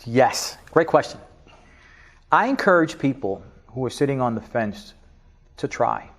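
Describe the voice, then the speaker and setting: A middle-aged man from Spanish Harlem with a New York accent, giving an animated interview, laughing often and restarting his sentences. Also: mid-range voice, unhurried. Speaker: interview guest, business leader. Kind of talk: casual interview talk.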